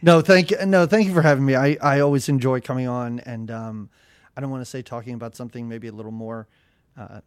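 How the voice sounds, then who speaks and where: A man's voice: mid-range voice, steady tone, fast, smooth. A man with a nasal voice, a chatty and self-deprecating guest on a podcast, laughing at his own jokes. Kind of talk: casual podcast chat.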